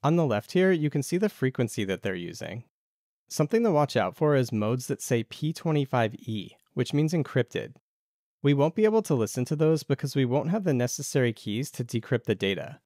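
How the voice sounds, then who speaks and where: A man's voice: medium pitch, expressive, brisk, warm tone, no room sound, clean studio sound. A man narrating a casual DIY tech tutorial as the video's host.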